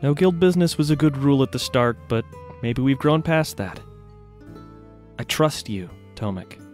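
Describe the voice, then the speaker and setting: Man playing caring male mage, direct, informal, audio story dialogue, medium pitch, light music behind. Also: moderate pace, darkish tone, lightly acted. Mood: reassuring. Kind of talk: dramatised audio fiction dialogue.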